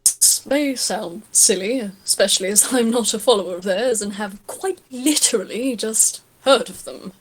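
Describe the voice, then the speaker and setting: Woman playing a measured, musing, kindly man. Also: mid-range voice, slightly rough, natural voice, low-quality recording. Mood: tentative, thoughtful. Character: Lau, patient, musing, kindly playful man.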